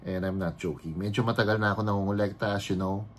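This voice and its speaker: A man in his fifties with a Filipino accent, chatting casually in his vlog and mixing Tagalog into his English with frequent pauses and fillers. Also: on the low side, steady tone, slight background noise. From Philippines. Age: 50s. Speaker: vlogger and card collector.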